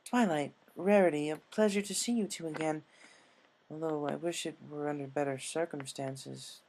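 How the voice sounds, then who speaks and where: A man voicing a gracious, sympathetic pony princess, formal and measured, in a fan story reading. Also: fairly high pitch, gravelly, a little mellow, a little hiss or hum, rough recording.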